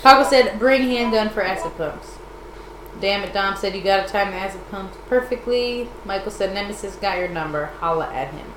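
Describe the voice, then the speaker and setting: A woman's voice: medium pitch, normal speed, background noise. A woman chatting casually as a live streamer and reading out comments from viewers. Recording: echoey room with music behind. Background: clearly audible music.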